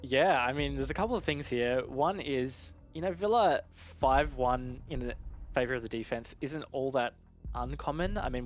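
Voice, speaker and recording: A man giving conversational live esports analysis in a measured, explanatory and informal way. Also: medium pitch.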